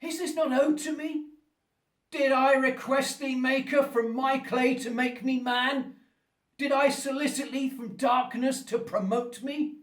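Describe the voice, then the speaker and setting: Wretched eloquent monster, very high voice, radio play, grandiloquent, roomy and distant. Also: male voice, steady tone, fairly bright tone. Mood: solemn, demanding. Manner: slow, grandiloquent, pleading and menacing delivery. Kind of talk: theatrical radio play acting. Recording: roomy echo, distant from the mic.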